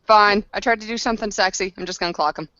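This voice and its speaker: A woman chatting casually as a player in a tabletop roleplaying game, slangy, argumentative and quick to swear. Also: medium pitch, bright, forceful tone, dry recording. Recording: dull, close lo-fi recording.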